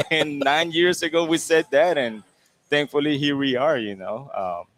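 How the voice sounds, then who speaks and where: A man's voice: on the high side, unhurried. Rambling easygoing man from Venezuela. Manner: relaxed, rambling, many fillers like "kinda like". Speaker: chef and restaurant co-owner. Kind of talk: casual interview answers. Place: restaurant.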